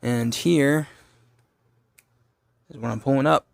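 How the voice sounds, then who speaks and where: Man, informal gadget reviewer, medium pitch, repeats himself while thinking aloud, close dry sound. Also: slow pace, creaky, dry recording. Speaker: gadget reviewer and channel host. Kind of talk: casual hands-on product review.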